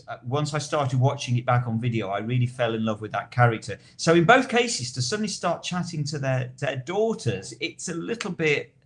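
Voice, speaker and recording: A man co-hosting a podcast, chatting casually and earnestly in a halting way that often repeats words. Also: medium pitch. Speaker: podcast co-host. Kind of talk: casual chat.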